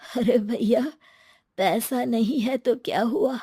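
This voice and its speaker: A woman voicing a frail, starving poor man in an animated story, speaking slowly and humbly. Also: on the high side, husky, dry recording, studio quality. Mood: weary, humble.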